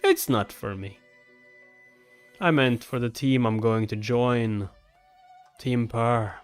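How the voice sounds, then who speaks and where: A man's voice: mid-range voice, slow pace, smooth, faint music behind, natural voice. A man voicing a cocky, scheming young genius player from a comic, brash, teasing and emphatic. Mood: smug, teasing.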